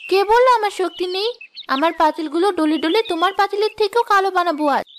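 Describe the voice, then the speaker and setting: A woman's voice: very high voice, quick pace, a little hiss or hum. A woman playing a proud, naive and discontented young wife in a cartoon fairy tale, plaintive and petulant. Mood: proud, eager. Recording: music behind the voice.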